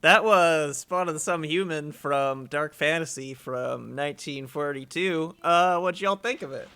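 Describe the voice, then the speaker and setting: Man, host, casual stream chat, bright tone, slightly high pitch, conversational. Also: unhurried, clear, resonant tone. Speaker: host of a listening show.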